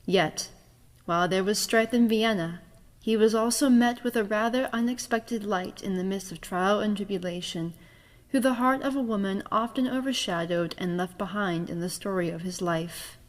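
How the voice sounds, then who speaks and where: A woman's voice: mid-range voice, normal speed. A woman narrating a scripted introduction to an audio drama in a formal, dramatic storyteller's manner. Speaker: author, director and narrator. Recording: long reverberant hall sound.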